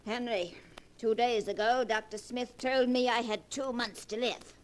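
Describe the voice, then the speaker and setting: A woman's voice: a little high, fairly even intonation, unhurried, slight background noise, close to an everyday voice, rough recording. A caustic, wealthy old grandmother near death, played by a woman, answers everyone with dry, biting sarcasm. Her delivery belongs to 1940s radio drama. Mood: sardonic. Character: sharp-tongued dying rich old grandmother.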